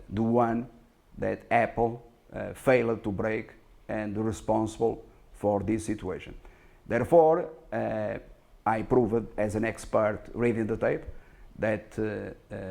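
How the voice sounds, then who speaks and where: Male market analyst, non-native English speaker, low and gravelly, halting yet sure of himself. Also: darkish tone. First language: not English. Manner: halting, emphatic, self-assured. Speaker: stock market analyst and presenter. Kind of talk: self-promotional market commentary over slides.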